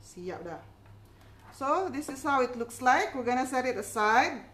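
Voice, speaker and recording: A woman's voice: high voice, expressive, measured pace, fairly bright tone. A middle-aged woman from the Philippines, hosting a casual cooking demonstration in a chatty, reassuring way with frequent little check-in tags. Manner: encouraging, chatty, reassuring, with tag questions like okay. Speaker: home baking instructor and cooking show host. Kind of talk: casual cooking demonstration, code-switching chat.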